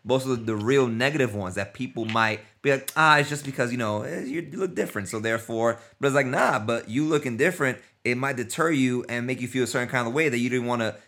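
A man hosting a casual interview podcast, relaxed and talkative, swearing freely with lots of filler words. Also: medium pitch, fast, hardly any pauses. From Dominican family, lives in Costa Rica. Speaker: podcast host.

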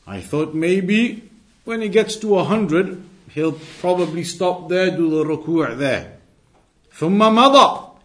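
A man giving an Islamic lesson, reading texts aloud and explaining them deliberately, repeating phrases for emphasis. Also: high-pitched, expressive, a bit bright. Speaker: religious teacher.

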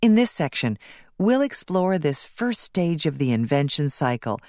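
A woman reading scripted nonfiction as an audiobook narrator in a measured, articulate, instructive way. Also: sits low, big pitch swings, a little mellow, dry recording, studio quality.

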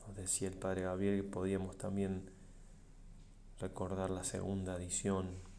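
Low, gravelly man leading a slow meditation. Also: monotone, warm tone, a little hiss or hum. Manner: solemn, measured, pausing between short phrases. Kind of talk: slow reflective guided meditation.

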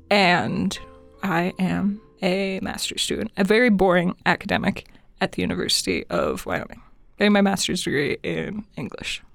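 A woman's voice: medium pitch, creaky, dry recording, studio quality. A woman in her twenties co-hosting a podcast in casual chat, enthusiastic and emphatic. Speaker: podcast co-host.

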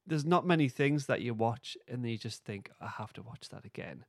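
A man's voice: medium pitch, animated, moderate pace, dry recording, studio quality. A man hosting a podcast, casually recapping and discussing in an informal way. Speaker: podcast host.

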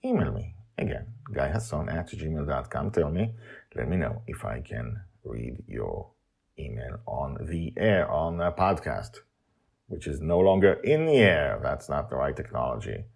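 A man hosting a story podcast with a gravelly, husky voice and a dramatic, emphatic delivery full of pauses. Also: rumbling low, very animated, a little mellow.

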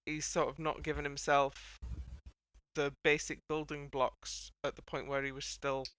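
Woman, wry host, bright tone, close dry sound, casual panel chat. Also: deep voice, level tone, measured pace, no room sound. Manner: wry, playful. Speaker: podcast host.

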